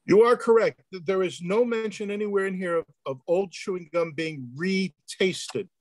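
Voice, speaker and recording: A man with a fairly high voice answers questions on a panel. He speaks informally and haltingly, often searching for words. The sound is dry and close, from an indoor video call. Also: darkish tone, no room sound, rough recording. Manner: halting, hesitant delivery.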